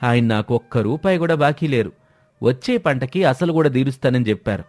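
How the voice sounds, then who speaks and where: Male actor as deferential village lender in a story, earnest, voice lifting above a middle pitch. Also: expressive, a little fast, a little mellow, natural voice. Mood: earnest protest. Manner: deferential, protesting. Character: humble village moneylender, respectful and indignantly honest.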